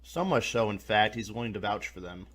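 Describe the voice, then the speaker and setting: Low-pitched man narrating a review. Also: expressive, brisk, a bit bright, a little hiss or hum. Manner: colloquial, mildly profane. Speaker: reviewer, host. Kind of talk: scripted video review narration.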